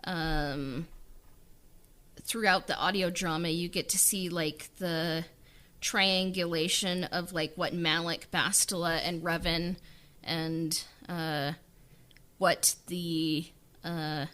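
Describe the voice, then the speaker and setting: Woman, podcast co-host, unhurried rambling chat, bright tone. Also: on the low side, steady tone. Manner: rambling, many fillers and "like"s. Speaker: writer of an audio drama, podcast co-host. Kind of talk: casual behind-the-scenes chat.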